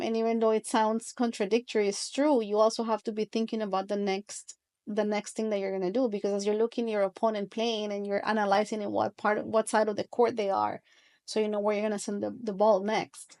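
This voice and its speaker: A woman's voice: mid-range voice, level tone, brisk, darkish tone. Earnest woman from Colombia reflecting. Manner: earnest, reflective, with frequent restarts. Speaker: guest. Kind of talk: podcast interview storytelling.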